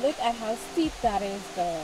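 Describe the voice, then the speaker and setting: A woman's voice: a little high, lively intonation, warm tone, soft background music, rough recording. A woman in her thirties vlogging to a handheld camera on a forest stairway, out of breath from the climb and chatting between sighs. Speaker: travel vlogger. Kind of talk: casual vlog talk to camera. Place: outdoor forest stairway near a waterfall. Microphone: handheld camera at arm's length. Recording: outdoor camera audio with waterfall noise. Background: rushing waterfall noise.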